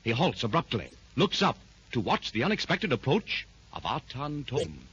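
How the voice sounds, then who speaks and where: 1930s radio serial narrator, male, dramatic and husky, lo-fi. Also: medium pitch, wildly swooping pitch, normal speed, fairly bright tone. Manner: dramatic, emphatic storytelling. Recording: dull old radio recording.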